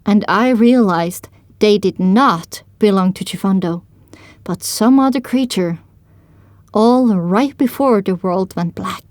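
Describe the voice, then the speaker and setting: A woman's voice: mid-range voice, slow pace, darkish tone. A woman reading first-person dramatic story narration for an audio drama.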